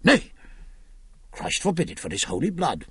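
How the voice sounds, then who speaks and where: A man's voice: a little high, huge pitch swings, normal speed, a bit bright, slightly rough, no echo, studio quality, exaggerated voice. A man reading Middle English verse, voicing a fretful, pious old male carpenter with frantic, pleading cries. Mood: earnest.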